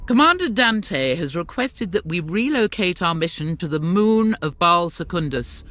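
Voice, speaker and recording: A woman's voice: lowish voice, very animated, moderate pace, a bit bright. A woman voicing a stern, devout warrior nun commander with a dry, formal manner, in a game cutscene. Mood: solemn. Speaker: sister superior.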